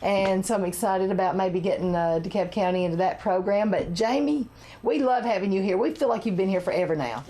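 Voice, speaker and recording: Woman host with a raspy voice, mellow tone, chatty local TV style. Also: medium pitch, brisk. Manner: chatty, laughs often. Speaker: chamber of commerce talk show host. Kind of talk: casual local TV chat. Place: local TV studio.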